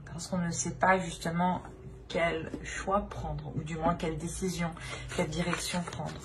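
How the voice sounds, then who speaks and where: A woman's voice: lowish voice, flat delivery, a little slow. A woman giving an improvised tarot reading, speaking directly to the listener in hesitant, repetitive phrases. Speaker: tarot reader. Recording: clear background noise.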